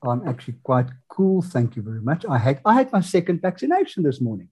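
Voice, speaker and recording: A man hosting a podcast, chatting casually and reacting with quick, enthusiastic exclamations. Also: medium pitch, big pitch swings, darkish tone, husky. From South Africa. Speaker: podcast host. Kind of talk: casual interview chat.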